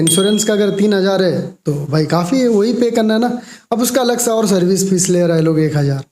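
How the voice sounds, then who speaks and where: A young Indian man with a high voice talks to his viewers in an echoey room. He explains things conversationally and emphatically, as a vlogger commenting informally. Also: dark tone. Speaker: vlogger commenting on a call recording.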